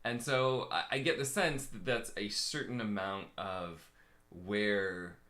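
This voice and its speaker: A man in his thirties speaks in a fairly low voice as he co-hosts a relaxed live chat. He thinks aloud, pausing on fillers and starting sentences over as he works his ideas out. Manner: thoughtful, hesitant with many fillers. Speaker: co-host and coach. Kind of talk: casual conversation.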